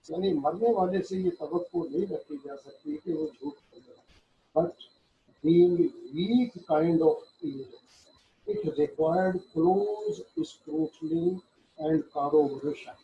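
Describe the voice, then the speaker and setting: A man with a Pakistani accent giving a law lecture, halting and deliberate, reading formal text and then explaining it, repeating phrases as he goes. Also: on the high side, gravelly, dark tone, dull audio, a little hiss or hum. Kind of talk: lecture reading legal text aloud in English and explaining it in Urdu.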